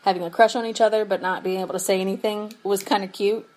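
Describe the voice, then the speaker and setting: A woman's voice: mid-range voice, fairly even intonation, fast talker, darkish tone, rough recording. A young woman co-hosting a podcast over a remote call, chatting casually and giggling often, with lots of fillers. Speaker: podcast co-host. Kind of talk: casual chat.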